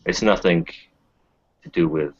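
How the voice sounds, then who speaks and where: A man speaking casually as a player in a tabletop roleplaying game, with a gravelly voice. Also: deep voice, unhurried, a little mellow, no echo. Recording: dull lo-fi voice recording.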